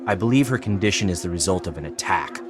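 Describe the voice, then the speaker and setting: An actor playing an earnest, persuasive psychiatrist in an audio drama, explaining in a measured, solemn way. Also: male voice, deep voice, animated. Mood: measured, explanatory. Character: earnest, persuasive male psychiatrist pressing his case. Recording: clearly noisy background ambience.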